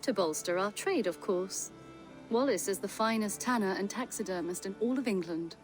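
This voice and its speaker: A woman playing a confident, keen-eyed huntress in a video game, speaking in a measured, articulate way. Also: mid-range voice, natural voice. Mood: composed, courteous. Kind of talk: video game dialogue acting. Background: game music.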